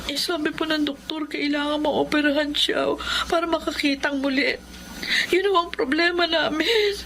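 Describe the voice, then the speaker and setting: An actress playing a devoted, self-sacrificing young wife in a radio drama, speaking earnestly and tenderly. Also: female voice, high voice, steady tone, moderate pace, fairly bright tone, slight background noise. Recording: clear music bed behind.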